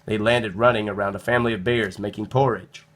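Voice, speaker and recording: A man narrating a children's storybook in an expressive read-aloud style. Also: lowish voice, a little fast.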